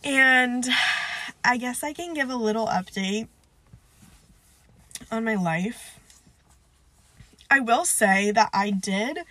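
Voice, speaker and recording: A young woman chats on her own podcast, nasal and stuffed up from a cold. Her voice is bright and a little sharp, recorded close and dry. She rambles loosely, leaning on "like" and "um" and poking fun at herself. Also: a little high, dry recording. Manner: rambling, filler-heavy, self-deprecating. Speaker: podcast host. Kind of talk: casual solo podcast chat.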